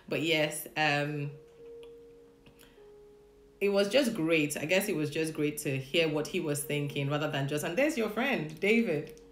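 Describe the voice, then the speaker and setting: A woman's voice: low-pitched, measured pace, echoey room. A woman hosting a casual live-streamed chat at home with her husband, greeting viewers, laughing often and leading a prayer. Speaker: host of a live session. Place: home room, indoors.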